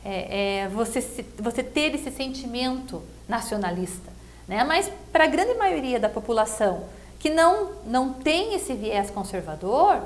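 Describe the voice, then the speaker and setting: A woman's voice: on the high side, sing-song, a little slow. A middle-aged Brazilian woman giving a conversational political interview, explaining herself earnestly and often checking with tag questions. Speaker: political pre-candidate being interviewed.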